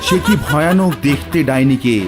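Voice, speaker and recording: A man's voice: a little high, moderate pace. A man narrating a scripted cartoon horror tale as a dramatic storyteller. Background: background music.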